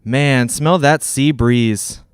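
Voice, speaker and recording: A man's voice: medium pitch, drawn-out words, smooth, dry recording, studio quality. A man playing a folksy, eager real estate agent with persuasive patter in a 1940s-style radio drama. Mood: breezy, persuasive.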